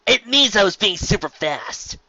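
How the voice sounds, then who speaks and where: A woman playing a cocky, boastful young female pegasus pony in a cartoon fan story, loud and scornful. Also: medium pitch, expressive, normal speed, dry recording, muffled recording, voice pushed up. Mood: smug.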